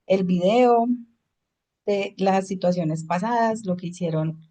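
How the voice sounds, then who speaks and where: A woman's voice: mid-range voice, a little slow, mellow. Woman teaching an English class. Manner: explains in Spanish, switches to English for examples. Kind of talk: online class lecture.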